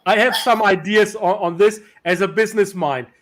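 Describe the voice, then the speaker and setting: A man's voice: high voice, normal speed, fairly bright tone. A male host whose first language is German or Turkish, speaking English in a casual interview over a video call, with many fillers and restarts.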